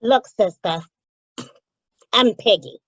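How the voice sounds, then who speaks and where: A woman's voice: medium pitch, takes it slowly, slightly rough, dry recording. Woman playing brash stool pigeon Piggy, dull dry sound. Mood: brash, demanding. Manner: slangy, brash, grumbling. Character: streetwise, pushy, indignant small-time informant called Piggy. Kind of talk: old-time radio gangster-comedy acting.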